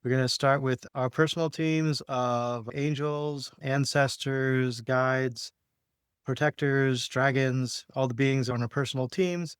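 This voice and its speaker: A man's voice: mid-range voice, fairly even intonation, slow pace, clear, resonant tone, no echo, studio quality. A man speaking casually and in a laid-back way about spiritual matters, as a podcast host and healer guiding a session.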